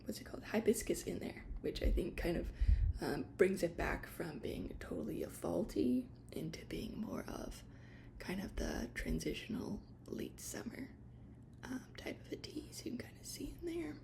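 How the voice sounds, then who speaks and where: A woman doing an ASMR shop roleplay as an attendant, whispering gentle explanations in a hesitant, unscripted way. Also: lowish voice, very animated, moderate pace, vocal fry. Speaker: ASMR creator playing a tea shop attendant.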